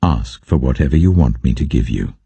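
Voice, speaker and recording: A man voicing a deep, majestic God. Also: lively intonation, fairly quick, creaky, warm tone, husky, dry recording, muffled recording, heavily acted. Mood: grave, benevolent. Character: God, majestic, benevolent, commanding male deity.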